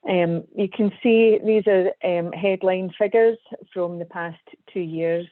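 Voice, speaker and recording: A woman with a Scottish accent, presenting a webinar as an engineer, measured and full of ums. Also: on the low side, fairly even intonation, no room sound. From Scotland. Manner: measured, hesitant with frequent ums. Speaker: guest speaker, civil engineer. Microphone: video call. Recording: tinny dry online call audio.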